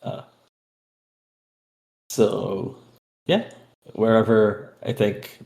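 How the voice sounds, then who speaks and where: Low, creaky-voiced man at a game. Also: extremely slow, a little mellow, poor audio. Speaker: tabletop game player. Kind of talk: casual improvised game talk.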